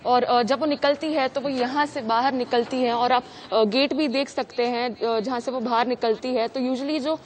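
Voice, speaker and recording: A woman's voice: fairly high pitch, fairly even intonation, moderate pace, few pauses. A woman giving a live on-location news report outdoors, with hesitant, repetitive phrasing. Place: outdoors on a street outside an apartment building. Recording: noisy outdoor live feed.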